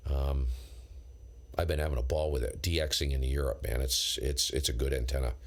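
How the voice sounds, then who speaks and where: American man, very low pitch, ham radio streamer, casual unscripted chat, rambling, blunt, slangy. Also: steady tone, fairly quick. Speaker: amateur radio operator live streaming. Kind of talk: casual unscripted chat with viewers, on-air call signs.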